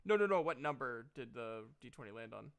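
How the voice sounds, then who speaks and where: A man's voice: medium pitch, lively intonation, brisk, vocal fry, clear, resonant tone. A man narrating a tabletop role-playing game as game master, casual and hesitant, swearing now and then.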